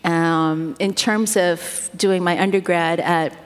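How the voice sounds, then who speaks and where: A woman's voice: fairly low pitch, fairly even intonation, takes it slowly, clean tone. A middle-aged woman born in the United States, whose first language is Korean, talking as a guest in an interview, rambling and self-deprecating with many fillers. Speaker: guest speaker. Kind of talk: casual interview talk. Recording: long hall reverb.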